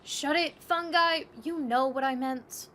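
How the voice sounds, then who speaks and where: A woman plays a hot-tempered, abrasive street artist in an audio drama. The voice is high, sharp and forceful, snapping out scornful lines over clear background noise. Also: a little slow. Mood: irritated.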